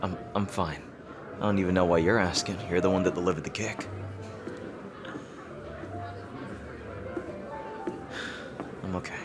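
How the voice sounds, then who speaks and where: A man playing a guarded, flustered, gently teasing rival fantasy king in an intimate roleplay audio drama, speaking hesitantly. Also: low-pitched, steady tone, over loud music, close to an everyday voice. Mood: defensive. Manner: hesitant, halting.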